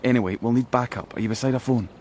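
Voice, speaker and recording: A man's voice: mid-range voice, rapid-fire, very noisy, close to an everyday voice. An actor playing a wry, urgent undercover policeman in a radio drama, talking colloquially. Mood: wry, furtive. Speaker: police officer.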